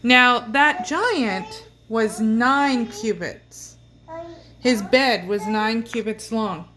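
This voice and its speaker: A woman's voice: a little high, drawn-out words, fairly bright tone. A woman explaining something casually, like a parent talking to family. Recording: noisy home recording.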